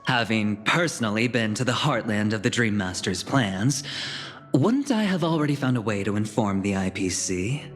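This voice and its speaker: A man's voice: on the low side, animated, normal speed, close to an everyday voice. A man voicing a smug, self-assured gambler in a video game, with a theatrical, taunting delivery. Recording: long reverb, music underneath.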